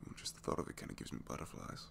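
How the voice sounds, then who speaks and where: Man performing reluctant supervillain, deep gravelly voice, shy and lovestruck, halting soft confessions, breaking into whispers, ASMR audio roleplay. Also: very animated, noisy background, exaggerated voice. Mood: shy, wistful. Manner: halting, self-deprecating, soft confiding delivery slipping into whispers. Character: captured young male supervillain, reluctantly evil, bashful and lovestruck.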